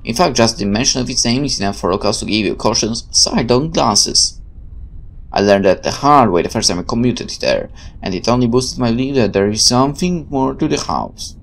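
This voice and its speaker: A man's voice: mid-range voice, lively intonation, a little fast. A man hosting a let's play, switching between casual commentary and reading the game's narration aloud, with game music behind him. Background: video game music.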